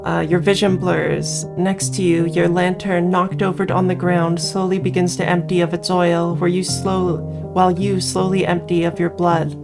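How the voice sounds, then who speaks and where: A woman's voice: fairly low pitch, flat delivery, normal speed, hardly any pauses. A woman reading a visual novel aloud as a player, adding her own casual commentary. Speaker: game player and narrator. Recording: music behind voice. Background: game music.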